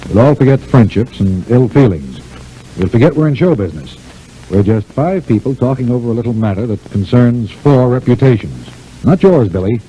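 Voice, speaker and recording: A man acting a fatherly theatre boss in a 1940s radio drama. His very dark, muted tone sits at medium pitch, firm and businesslike, over faint old-recording noise. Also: animated, normal speed, lightly acted, low-quality recording. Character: Mister Hunt, firm, businesslike, fatherly male show boss.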